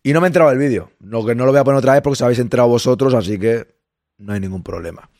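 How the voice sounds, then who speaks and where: A man with a Spain Spanish accent hosting a casual livestream, a bit hoarse, chatting with viewers and greeting them by name. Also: mid-range voice, racing, darkish tone, no room sound, clean studio sound. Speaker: livestream host. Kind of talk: casual live chat with viewers.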